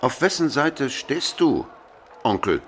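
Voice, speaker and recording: Man, hot-tempered medieval emperor, radio drama, forceful bright voice, wildly animated swings, imperious, indignant. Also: mid-range voice, slow, slight background noise. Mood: challenging.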